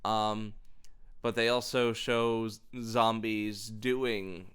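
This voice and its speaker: A man's voice: fairly low pitch, level tone, extremely slow, fairly bright tone, clear, resonant tone. A man co-hosting a podcast, talking casually about films. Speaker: podcast co-host. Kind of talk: casual film discussion.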